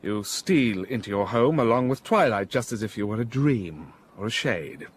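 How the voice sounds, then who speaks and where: A brooding gentleman master, voiced by an actor, teasing and mock-reproachful. His voice rises and falls lively in a radio drama, with a faint hiss behind it. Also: on the low side, moderate pace, close to an everyday voice.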